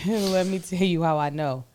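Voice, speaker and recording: A woman podcast co-host talking casually with a hoarse voice, candid and exasperated. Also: lowish voice, takes it slowly, mellow, slight background noise. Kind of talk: casual chat.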